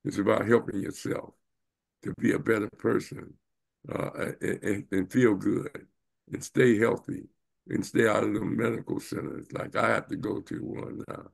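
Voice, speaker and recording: A man's voice: lowish voice, little pitch movement, moderate pace. A man in his seventies hosting an interview over a video call, talking in an emphatic, wandering way with many fillers. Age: 70s. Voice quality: gravelly, husky. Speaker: show host and professor. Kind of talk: casual interview talk.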